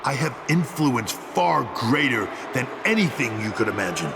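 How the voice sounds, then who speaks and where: An actor playing an arrogant, menacing wrestling boss in a video game, laying down the law in a condescending, commanding way. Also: male voice, medium pitch, sing-song, moderate pace, gravelly, noisy background.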